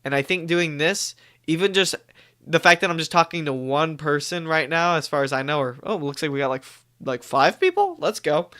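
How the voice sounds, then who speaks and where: Young guy on a live stream, kinda stuffy from a cold, bright clear voice, rambles and restarts a lot. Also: fairly high pitch, lively intonation, normal speed. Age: young adult. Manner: rambling, self-correcting, upbeat. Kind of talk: casual live-stream chat.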